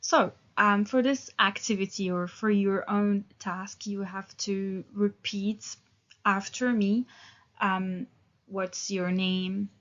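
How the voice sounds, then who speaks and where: A woman in her thirties teaching an English lesson, talking in a relaxed, hesitant way with many fillers and restarts. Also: medium pitch, takes it slowly, dull audio. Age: 30s.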